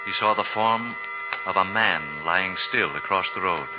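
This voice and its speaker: Male narrator, stately storyteller for golden-age radio play, dull old recording under swelling loud music. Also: deep voice, normal speed. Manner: grand, poetic storyteller delivery. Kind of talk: 1940s radio scripted dramatic narration.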